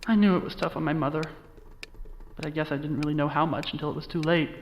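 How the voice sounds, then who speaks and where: A man's voice: on the high side, fairly even intonation, fast, slight background noise, lightly acted. An actor playing a wry, guilt-ridden young American man recounting his family history in an audio drama. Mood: wry, explaining. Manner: wry, sardonic, confiding. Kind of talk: audio drama monologue, conversational storytelling.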